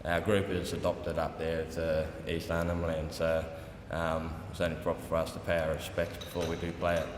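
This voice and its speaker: A young man from South Australia with an Australian accent, giving an informal, unscripted welcome speech in a relaxed, colloquial way. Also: a bass voice, moderate pace, few pauses. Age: young adult. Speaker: dance group leader and language teacher giving a welcome. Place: large reverberant hall at a conference. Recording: echoey hall with long reverb, background noise.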